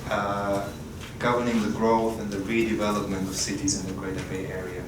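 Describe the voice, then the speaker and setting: A man chairing a conference session, introducing a keynote speaker in a polite, halting way. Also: lowish voice, little pitch movement. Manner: polite, halting with many pauses. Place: conference room. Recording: echoey room, distant mic, loud background noise.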